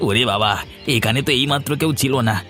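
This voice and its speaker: A man's voice: medium pitch, fast talker, sharp tone, faint music behind, lightly acted. A man playing a sneaky, whining, stammering vegetable thief in a cartoon. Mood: flustered. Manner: stammering, exaggerated. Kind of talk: Bengali cartoon comedy acting.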